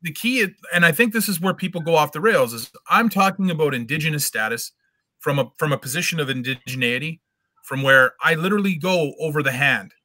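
A man's voice: a little high, fairly quick, bright, forceful tone, no room sound. A middle-aged man arguing his case in an online debate, insistent and lecturing, repeating words as he presses his point from a room at home on a video call. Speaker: debate guest. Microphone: webcam video call.

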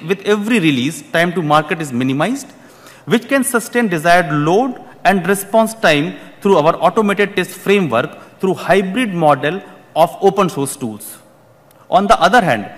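Man, 30s, conference keynote, formal, restarts phrases, slightly high voice, long hall reverb. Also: a bit bright. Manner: formal, repeats words when restarting phrases. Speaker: keynote speaker. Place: large conference hall, at a podium. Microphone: podium microphone.

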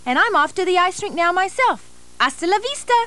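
A woman's voice: extremely high pitch, very animated, fairly quick, sharp tone, full character voice. A woman voicing a cheerful, sporty Canadian crayon with a Canadian accent and a bubbly, chirpy delivery.

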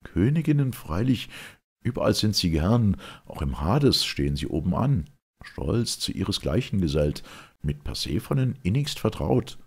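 Male reader for classical verse drama: extremely low, husky voice, solemn and theatrical. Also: sing-song, normal speed. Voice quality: gravelly, husky.

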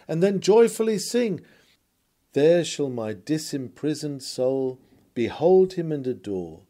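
A man reads a sermon aloud with solemn, earnest delivery. His voice sits a little high yet has a soft, mellow tone. He declaims in an oratorical style, pausing for dramatic weight. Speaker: sermon reader.

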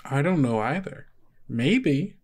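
A man acting as game master, improvising descriptions in a conversational, halting way. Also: on the high side, lively intonation, darkish tone. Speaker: game master of an actual play podcast. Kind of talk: improvised tabletop game narration.

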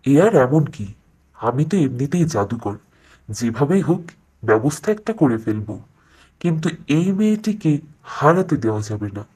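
A man's voice: medium pitch, sing-song, measured pace, long pauses, darkish tone, slightly rough, poor audio. A man voicing an arrogant middle-aged sorcerer king in a fairytale cartoon, self-assured, wooing and scheming. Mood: scheming, smitten.

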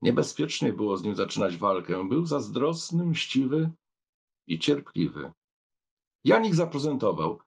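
A man narrating a literary audiobook in an expressive, measured storytelling manner. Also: medium pitch, creaky, breathy edge.

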